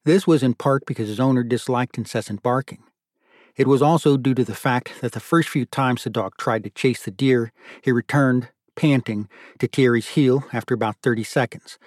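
A man's voice: mid-range voice, darkish tone, no echo. Dry, closely recorded male audiobook narrator. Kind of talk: scripted literary fiction narration.

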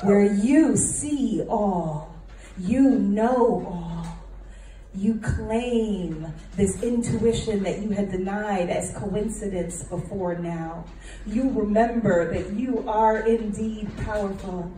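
A woman's voice: medium pitch, takes it slowly, warm tone. A woman leading a guided meditation, speaking fervently and effusively with incantatory repetition and affirmations. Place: large indoor room with a group present. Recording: very echoey room, distant mic, noisy.

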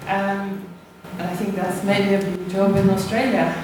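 A woman's voice: medium pitch, little pitch movement, moderate pace. A woman from Norway with a Norwegian accent, giving a conference presentation as a university lecturer, hesitant with many fillers and restarts. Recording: echoey room, distant mic, background noise.

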